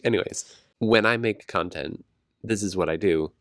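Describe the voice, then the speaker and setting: Man, low voice, hushed casual chat, slightly stuffy. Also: moderate pace, no room sound, studio quality. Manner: quiet and hedging, laughs easily. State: congested. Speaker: podcast co-host. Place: home, recorded late at night.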